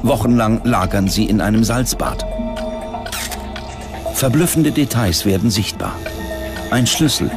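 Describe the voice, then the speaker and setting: A man's voice: fairly low pitch, unhurried, long pauses. A man reading scripted documentary narration as a narrator. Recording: music behind the voice. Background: background music.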